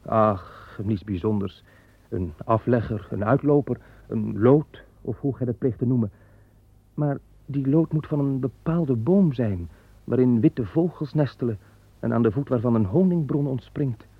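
An actor playing Seth, a young farmer and son of Adam, speaking earnestly and frankly in a radio drama. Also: male voice, mid-range voice, measured pace, breathy edge, no room sound. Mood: wry. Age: young adult. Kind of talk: 1960s Dutch radio drama. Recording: tinny narrow-band old radio recording.

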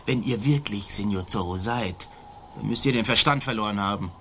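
An actor playing a boastful, swaggering Spanish colonial army sergeant in a theatrical, declamatory radio play style. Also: male voice, lowish voice, moderate pace, fairly bright tone, slight background noise. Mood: blustering, threatening.

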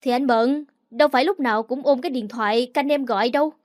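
A woman's voice: sits high, quick pace, a little mellow, no room sound, clean studio sound, natural voice. A woman voicing a callous, hot-tempered young man who defends himself with bluster in an audio story. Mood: defensive.

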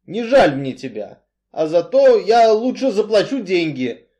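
A man voicing a brooding, weary strongman who speaks bluntly and emphatically in halting, colloquial phrases. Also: sits high, very animated, dark tone, voice pushed up. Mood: blunt, emphatic.

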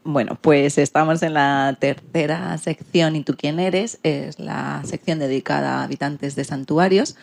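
A woman's voice: low-pitched, fairly even intonation, unhurried, vocal fry, few pauses, a little mellow. A woman from informally introducing a section of a radio programme.

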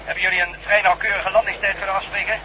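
Radio-play officer male actor, grave and formal, high-pitched voice, noisy transmission. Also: flat delivery, fast talker, natural voice. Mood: businesslike. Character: serious officer speaking over radio. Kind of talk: Dutch radio drama acting.

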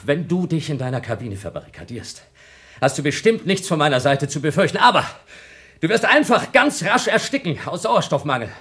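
A cunning younger male astronaut in a radio play. His voice sits a little high and moves in very lively swings. He argues like a pedant, coldly logical and always accusing. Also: fairly quick. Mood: grimly reasoning. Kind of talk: German radio drama acting.

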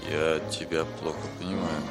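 A man's voice: a bass voice, level tone, moderate pace, music in the background. A man acting a stern, principled husband with a firm, restrained manner in a 1960s Soviet radio play. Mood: dismayed.

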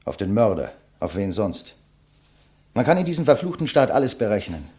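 A condemned man of letters in a 1950s German radio play. His voice sits fairly low, and he speaks in measured, eloquent sentences. He is composed, with a bitter, sardonic irony. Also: very animated, mellow. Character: condemned male writer, a widower, composed and bitterly ironic.

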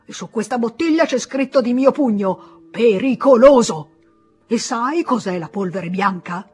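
A woman reading an audiobook voices a pompous, irate middle-aged male pharmacist, scolding loudly and with emphasis in a husky voice. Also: on the high side, expressive, a little slow, faint music behind, voice pushed up. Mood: lecturing, stern.

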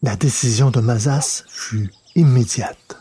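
A man narrating a scripted dramatic story in a measured, literary delivery. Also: mid-range voice, mellow, faint music behind. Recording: noticeable background noise.

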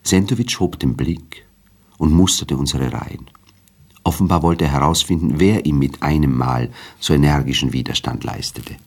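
A man narrating a literary radio drama in a measured, formal storytelling manner. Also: extremely low pitch, creaky, a little mellow, breathy edge.

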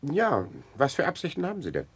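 An actor playing a stiff, disapproving conservative official in a 1960s German radio drama, formal and sceptical. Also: male voice, fairly high pitch, wildly swooping pitch, fairly quick, slightly rough, exaggerated voice.

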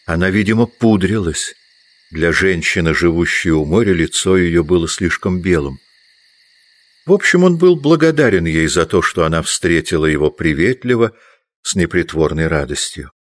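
Very low-pitched male narrator. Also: animated, moderate pace, no room sound. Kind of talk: scripted literary narration for audio drama.

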